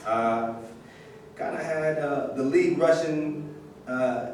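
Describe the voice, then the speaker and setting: A man playing an old former football superstar, halting and hesitant, in a staged reading. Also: fairly high pitch, darkish tone. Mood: halting, reflective. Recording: echoey room, far mic, faint noise.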